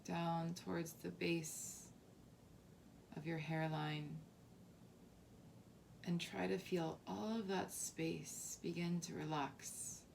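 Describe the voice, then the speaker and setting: A woman leading a guided body scan meditation as a teacher, softly and calmly, with unhurried phrasing and long pauses. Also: fairly low pitch, vocal fry, a little hiss or hum. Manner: soft, unhurried, calming instruction with long pauses.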